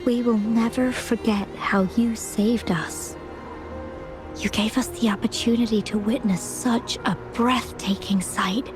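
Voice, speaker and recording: An actress playing a frail, gentle but resolute ailing painter in a video game, with a soft, breathy delivery. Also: female voice, fairly high pitch, fairly even intonation, a little slow, over music, lightly acted, poor audio. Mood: gentle, grateful.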